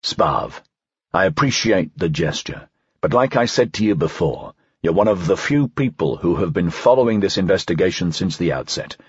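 Audiobook senior officer, man acting: low, husky, terse, world-weary, dryly sardonic authority. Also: expressive, normal speed, no echo, dull audio, heavily acted. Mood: earnest, firm. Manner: terse, dry, formal. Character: weary, stern male senior police prefect, dryly sardonic. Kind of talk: audiobook character dialogue.

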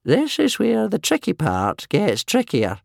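A very old male soothsayer from a children's storybook. The voice is raised, wheezy and croaky, swinging in pitch. He speaks slowly and gloomily, muttering like a doddery healer. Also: huge pitch swings, darkish tone, no room sound, clean studio sound, heavily acted. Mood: gloomy, ominous. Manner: slow, muttering, tut-tutting.

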